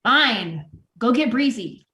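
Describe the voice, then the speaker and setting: A woman's voice: a little high, expressive, slow, pushed and bright, slight background noise, exaggerated voice. A woman playing a disorganized, exasperated female store manager in a comedy table read. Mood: resigned, exasperated. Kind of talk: virtual table read of a sitcom script.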